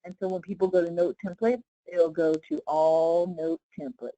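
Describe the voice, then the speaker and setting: A woman leading a casual live software tutorial as a trainer, explaining step by step in an informal way with frequent check-in questions. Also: fairly low pitch, fairly even intonation, normal speed, no room sound, muffled recording.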